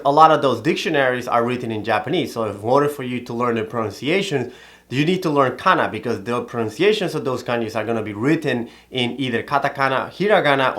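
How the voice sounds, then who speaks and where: Man teaching calligraphy. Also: mid-range voice. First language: Spanish. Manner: explanatory, steady, methodical. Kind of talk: instructional video talk.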